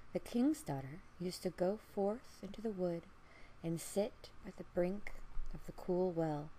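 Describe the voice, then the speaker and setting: A woman narrates a scripted fairy tale. She reads slowly and expressively, in a measured storyteller's manner. There is faint background noise on the recording. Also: lowish voice, warm tone, low-quality recording.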